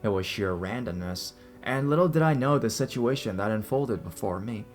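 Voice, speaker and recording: Horror story narrator: man, lowish register, reading first-person accounts over a music bed. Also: lively intonation, moderate pace. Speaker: narrator reading listener-submitted scary stories. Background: background music.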